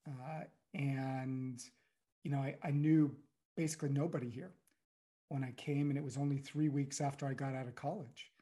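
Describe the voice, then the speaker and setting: A middle-aged man from the United States, a guest speaking reflectively in an interview, pausing often and filling with "you know". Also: mid-range voice, steady tone, warm tone. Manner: thoughtful, halting, many "you know"s.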